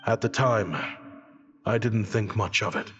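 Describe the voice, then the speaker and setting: A man playing an exiled scholar recounting his past in measured, wistful game narration. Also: lowish voice, a bit bright, big-room sound, highs cut off, faint music behind. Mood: wistful, reflective. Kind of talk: scripted game narration and dialogue.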